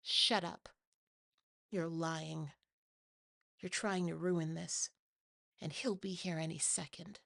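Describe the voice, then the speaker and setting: A woman's voice: low-pitched, fairly even intonation, slow, creaky, long pauses, a bit bright, dry recording, studio quality, full character voice. A woman voicing a young, insecure monster girlfriend, pleading and defensive with a trembling delivery, for a horror ASMR story. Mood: angry, defiant.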